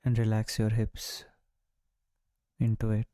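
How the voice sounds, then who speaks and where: A man guides a meditation in a mellow, relaxed voice with very little pitch movement. His delivery is slow and soothing, with generous pauses. The sound is smooth and calm throughout. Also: dry recording, clean studio sound. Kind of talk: guided body-scan meditation.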